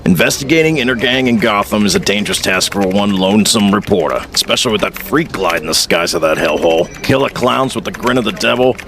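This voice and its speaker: A man playing a gravelly, brusque newspaper boss. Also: on the low side, lively intonation, fast, few pauses, fairly bright tone. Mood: stern, persuasive. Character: gruff, exasperated male newspaper editor, middle-aged boss. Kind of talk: audio drama acting.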